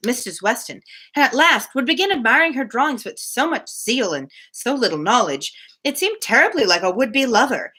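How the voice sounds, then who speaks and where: Animated, bright-voiced woman reading aloud. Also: high-pitched, husky. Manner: expressive, informal and chatty between passages. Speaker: host of a live book reading. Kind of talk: reading a classic novel aloud with casual asides.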